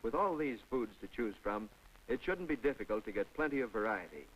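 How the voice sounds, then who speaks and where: A man's voice: mid-range voice, lively intonation, fairly quick, slight background noise, poor audio. A man narrating a scripted 1950s public information film in a folksy, instructive way, with conversational asides to the viewer. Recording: vintage 1950s film soundtrack.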